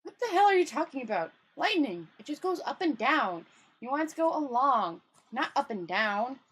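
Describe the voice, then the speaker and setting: A woman's voice: high voice, very animated, moderate pace, a bit bright, breathy edge, voice pushed up, full character voice. A woman voicing an irritable, hopeless male failed wizard in a grumbling, fretful storybook read-aloud. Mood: exasperated.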